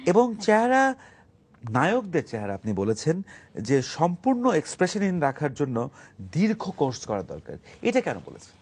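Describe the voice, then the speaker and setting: A man with a Bangladeshi accent hosting a mock-serious comedy interview, politely deadpan and a little hesitant. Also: on the high side, moderate pace, husky. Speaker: talk show host.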